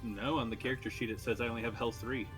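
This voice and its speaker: Mid-pitched man at a game table. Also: fairly even intonation, quick pace, a bit bright, faint music behind, rough recording. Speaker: player in a tabletop roleplaying game. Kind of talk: casual table chat.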